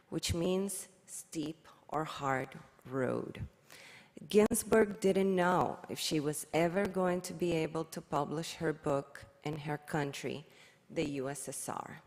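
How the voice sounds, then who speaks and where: A middle-aged woman from Cuba, giving a measured, careful reflection at a service. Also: sits low. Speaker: worship leader. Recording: long hall reverb.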